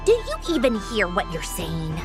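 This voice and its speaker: A woman voicing a haughty, sharp-tongued young woman in a video game, scornful and easily flustered. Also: mid-range voice, wildly swooping pitch, moderate pace, music in the background. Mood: mocking. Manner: scornful, mocking, indignant. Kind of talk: video game character voice acting.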